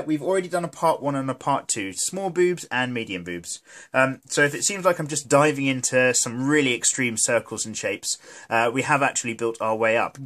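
A man's voice: medium pitch, a bit bright. A British man with a blocked-up nose from a cold, hosting a drawing tutorial in a chatty, jokey, self-deprecating and mildly sweary way. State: congested with a cold. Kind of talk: casual, rambling tutorial narration. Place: indoors at a desk, hands filmed from above.

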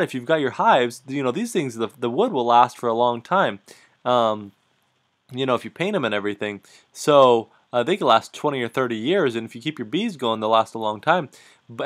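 A man talking casually at his computer as he clicks through slides, his voice sitting at an ordinary middle pitch. He explains in a loose, halting way, trailing off and restarting his sentences and correcting himself as he goes. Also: animated, fairly bright tone. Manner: halting, many restarts and fillers, self-correcting. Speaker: hobby beekeeper explaining a slideshow.

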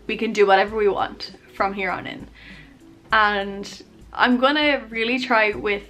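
A woman in her twenties rambling casually to camera in her bedroom, chatty and self-deprecating, her voice a little hoarse. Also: mid-range voice, steady tone, a little slow, pushed and bright. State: losing her voice, coughing. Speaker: YouTuber. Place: bedroom, indoors. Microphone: camera on tripod at arm's length.

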